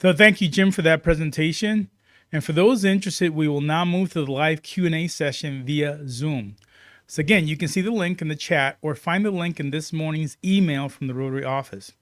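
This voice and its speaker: A man's voice: a little high, fairly quick, fairly bright tone, dry recording, clean studio sound. An American man hosting a meeting, making formal announcements. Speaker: meeting host.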